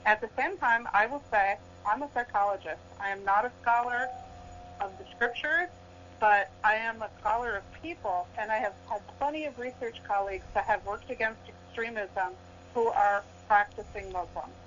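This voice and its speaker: A woman's voice: medium pitch, normal speed, a bit bright, slight background noise. A woman psychologist, a guest in a heated radio debate, firm and indignant as she talks over interruptions. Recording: dull lo-fi radio audio.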